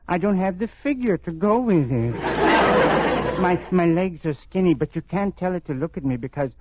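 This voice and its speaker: An actor playing a meek, self-deprecating middle-aged husband in an American radio comedy, hesitant and deadpan. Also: male voice, sits high, moderate pace. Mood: forlorn, wistful. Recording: muffled, noisy old radio with studio audience.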